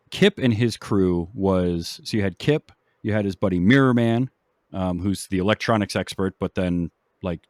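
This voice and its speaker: A man hosting a casual film discussion, explaining things in a measured, halting way. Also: sits low, a little mellow, no echo, studio quality. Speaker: podcast host.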